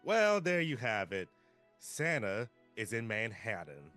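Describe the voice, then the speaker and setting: A man playing an earnest, brisk female TV field reporter in a live table read. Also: on the low side, huge pitch swings, fairly bright tone, soft background music, full character voice. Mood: inquisitive, bright.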